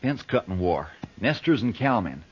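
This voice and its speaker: Earnest young ranch hand, husky. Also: male voice, fairly low pitch, sing-song, moderate pace. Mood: earnest, troubled. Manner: folksy cowboy idiom. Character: young, earnest, plain-spoken ranch cowboy. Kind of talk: 1940s radio western acting. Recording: muffled old radio broadcast recording.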